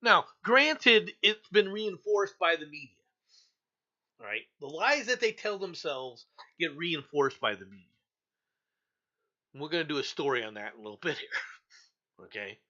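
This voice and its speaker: A man's voice: on the high side, animated, moderate pace, pauses often, pushed and bright, dull audio. A male talk show host giving blunt, opinionated news commentary, repeating himself for emphasis.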